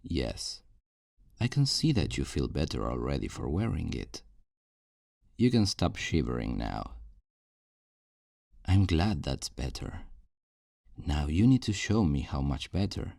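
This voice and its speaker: A man's voice: rumbling low, sing-song, gravelly, a little mellow, no room sound, studio quality, full character voice. A man playing a possessive, falsely tender kidnapper in an intimate ASMR roleplay, coaxing and condescending with veiled menace. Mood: pleased, coaxing. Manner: slow, coaxing, condescending endearments with veiled threats.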